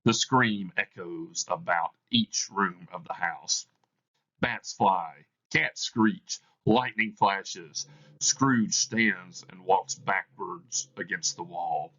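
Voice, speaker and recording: A man reading stage directions aloud as scripted narration, with measured, deliberate phrasing. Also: deep voice, bright, forceful tone, highs cut off. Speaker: reader of a play for students.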